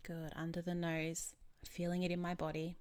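A woman leading a guided tapping session as a therapist, giving gentle, measured step-by-step instructions with soothing pauses. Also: fairly low pitch, monotone, smooth.

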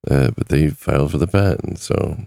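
A man's voice: a bass voice, creaky, mellow, no echo, clean studio sound. A man who is losing his voice, hosting a casual talk podcast in a chatty way. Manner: chatty, repeats numbers slowly. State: losing his voice, sore throat. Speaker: podcast host.

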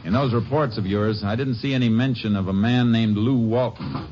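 An actor playing a steady Texas Ranger in a 1950s radio drama, speaking calmly and politely with a Texan accent. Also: male voice, fairly low pitch, normal speed, close to an everyday voice. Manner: calm, polite, plainspoken. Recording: muffled old radio recording with faint hiss.

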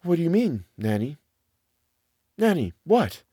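A man's voice: on the high side, big pitch swings, unhurried, breathy edge, no room sound, studio quality, pitched above the performer's own voice, heavily acted. Trembling young lady, read by a man. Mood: anxious. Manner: tense, hushed, trembling. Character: nervous, frightened young lady of the house.

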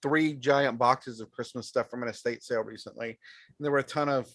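A man's voice: mid-range voice, steady tone, brisk, a bit bright, dry recording. A man chatting casually on a podcast, speaking into a headset. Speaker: podcast co-host. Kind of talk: casual chat. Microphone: headset, close and dry.

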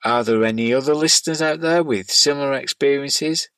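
A man's voice: mid-range voice, a little fast, fairly bright tone, clean tone, no echo. A middle-aged man reading scripted narration and listener letters aloud as a podcast host.

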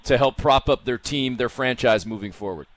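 A man co-hosting a sports podcast, putting questions conversationally and deliberately, rephrasing them in stages. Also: mid-range voice, dry recording. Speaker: podcast co-host.

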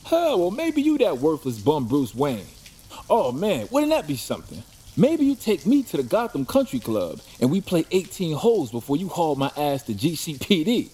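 A man playing a scrappy, mouthy mugger and desperate addict in an audio drama, streetwise, slangy and excitable. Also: a little high, normal speed, exaggerated voice. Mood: mocking. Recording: clear background noise, rain ambience.

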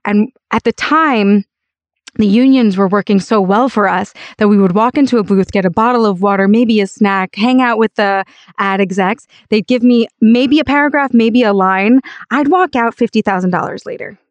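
An American woman with a raspy voice, telling personal stories emphatically and conversationally as an interview guest. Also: medium pitch, moderate pace, dry recording, muffled recording. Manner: emphatic, peppers talk with "like" and "you know". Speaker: interview guest, actor and voice-over artist.

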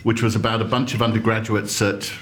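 Low-voiced male interviewer. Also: little pitch movement, a little fast, a bit bright, slight background noise. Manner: prompting, recapping the guest's career. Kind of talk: conversational interview. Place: restaurant table.

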